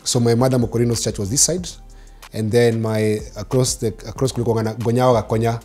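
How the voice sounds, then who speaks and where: Kenyan man, thirties, interview guest, storytelling, mellow warm tone, animated, faint background music. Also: mid-range voice, fast talker. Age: 30s. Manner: animated storytelling with hand gestures, mixing Swahili. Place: living room studio set, seated in armchair.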